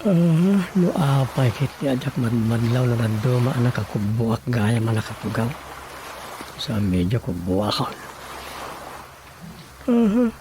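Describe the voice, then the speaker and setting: Slow-talking comic village chairman. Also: mid-range voice, sing-song, noisy background. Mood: musing, grumbling. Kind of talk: Ilocano radio drama comedy acting.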